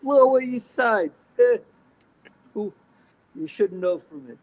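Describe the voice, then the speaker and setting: A man playing a loud, pushy old New York street hustler with a put-on Lower East Side accent, all wisecracks and haggling patter. His voice sits high and swings wildly up and down as he barks at everyone. It is a comic bit in a loose group chat. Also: expressive, slow, dry recording, poor audio. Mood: mock-indignant.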